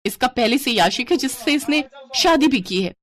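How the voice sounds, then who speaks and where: A woman's voice: high-pitched, animated, normal speed, a bit bright, voice pushed up. A woman voicing an arrogant, scheming young sister-in-law in a melodramatic, accusing manner for a story. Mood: scornful, accusing.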